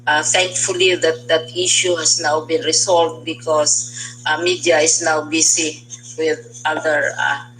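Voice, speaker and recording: Even-toned Filipino education official briefing. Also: mid-range voice, measured pace, a little hiss or hum, poor audio. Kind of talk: semi-formal spoken briefing.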